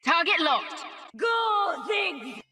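A woman playing a spirited young archer calling eager, crisp commands in a video game. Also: very high-pitched, sing-song, very slow, shouting, very noisy, heavily acted. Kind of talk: video game battle cries.

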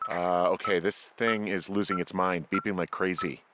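An actor with an American accent playing a wary, level-headed fire lookout in a video game, hesitant and conversational. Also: male voice, deep voice, fairly even intonation, moderate pace, very noisy, natural voice. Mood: matter-of-fact. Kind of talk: naturalistic video game dialogue over walkie-talkie.

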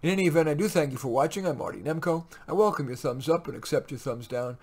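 A man in his sixties giving a scripted advice talk to camera in a measured, lightly wry way, recorded on a webcam in a small home office. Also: fairly high pitch, darkish tone. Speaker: host.

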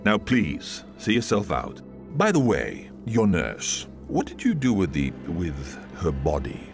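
Bossy dismissive sci-fi director guy, lowish voice that swings a lot, music under. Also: wildly swooping pitch, takes it slowly, vocal fry, heavily acted. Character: imperious, dismissive male colony boss. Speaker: colony director. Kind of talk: animated sci-fi drama acting.

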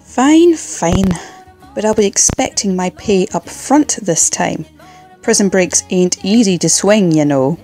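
Wry, lively mercenary woman, acted. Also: mid-range voice, slow, faint music behind. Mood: grudging. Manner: dry, businesslike sarcasm. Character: wry, mercenary female rogue for hire. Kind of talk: fan audio drama acting.

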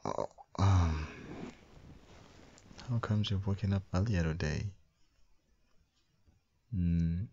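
A man with a gravelly, husky voice doing a soft, intimate boyfriend ASMR roleplay as a loving, doting husband and father. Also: low-pitched, dull audio. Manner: tender, doting, slow, murmured with soft hums.

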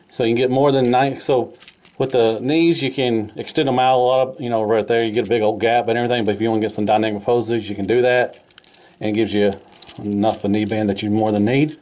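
A man's voice: medium pitch, darkish tone, a little hiss or hum. A man giving a casual, hands-on toy review in an informal, folksy way, repeating his phrases.

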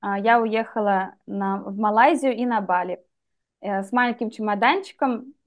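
A woman's voice: medium pitch, moderate pace, highs cut off. A young woman from Russia telling her own story as a guest over a video call, candid and reflective. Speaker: guest, English teacher. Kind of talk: personal story in interview. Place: dim room indoors. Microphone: video call webcam.

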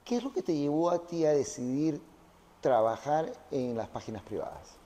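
A man's voice: on the high side, sing-song, normal speed, dark tone. A man interviewing a guest in a conversational style, in a reverberant room.

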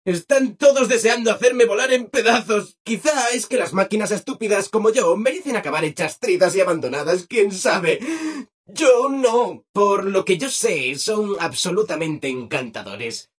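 A man's voice: high voice, animated, normal speed, breathy edge. A male actor with a Castilian Spanish accent playing a relentlessly cheerful, over-obliging robot assistant in a video game, chirpy and eager to please. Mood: obliging, passive-aggressive. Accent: Castilian Spanish from Spain. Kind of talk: animated video game character acting.

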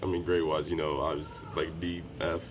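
A young man answering questions at a postgame press interview, speaking in a low-key, measured way with frequent fillers. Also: little pitch movement, noisy background. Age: young adult. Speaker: college basketball player. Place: small indoor room by a wall. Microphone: handheld reporter mics held close.

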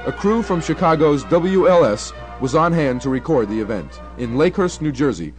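Young male narrator, slightly high voice. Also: expressive, normal speed, soft background music. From United States. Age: young adult. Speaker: documentary narrator. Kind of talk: scripted radio documentary narration.

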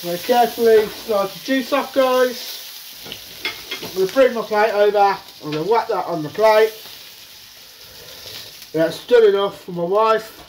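A man's voice: high-pitched, a little slow, pauses often. A man hosting a casual home cooking show, chatting to viewers as he works at the stove. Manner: chatty, keeps saying "guys", working class speech. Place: home kitchen at the stove, frying sounds. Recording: noisy home kitchen with sizzling.

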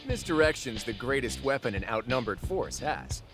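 Man as a cocky, lively rebel adventurer. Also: mid-range voice, normal speed, over music, close to an everyday voice. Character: cocky, flirtatious, showy male rebel adventurer. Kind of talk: video game cutscene acting.

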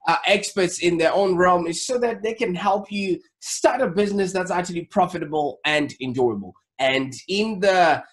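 A man's voice: sits high, normal speed, hardly any pauses. A man in his thirties presenting an online interview show in an enthusiastic, wordy and emphatic way. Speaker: show host. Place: small home office, indoors. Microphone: desk microphone.

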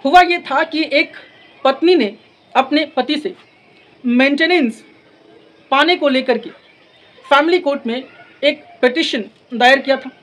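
A man teaches in a notably high voice. He lectures deliberately, phrase by phrase, mixing in English legal terms and repeating points for emphasis. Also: fairly bright tone, low-quality recording. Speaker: law lecturer. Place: in front of a classroom blackboard. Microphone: lapel mic.

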